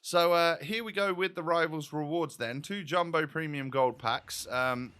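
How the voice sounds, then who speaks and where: A man's voice: fairly high pitch, measured pace, bright, forceful tone, clean tone. A man hosting a gaming video with casual, chatty, rambling and self-deprecating commentary.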